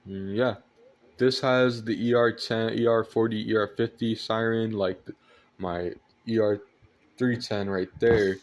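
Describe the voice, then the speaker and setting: Young male collector, lowish voice, casual show-and-tell delivery, rambling and repeating himself as he goes. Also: steady tone, measured pace. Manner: hesitant, rambling with repeated phrases. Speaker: collector presenting weather radios. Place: indoors at home at night.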